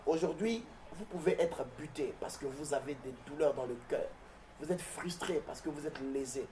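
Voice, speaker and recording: A pastor from southern Congo-Brazzaville, whose first language is Mbembe, answering interview questions in a declamatory, preacherly way with frequent hesitations. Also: male voice, on the high side, moderate pace, warm tone, a little hiss or hum. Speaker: pastor and guest. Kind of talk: interview answers turning to a prayer.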